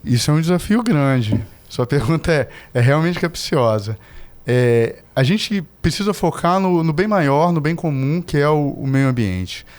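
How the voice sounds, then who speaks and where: Middle-aged brazilian guy from salvador, measured explainer, guest on a podcast. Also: on the high side, expressive, a little mellow. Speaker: guest, environmental manager. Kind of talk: formal interview answers.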